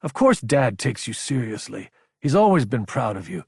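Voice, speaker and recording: A man's voice: medium pitch, sing-song, normal speed, vocal fry, a little mellow, slightly rough, no room sound, studio quality, full character voice. A man voicing a young prince and military officer who speaks in a stern, blunt, commanding way. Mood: urgent.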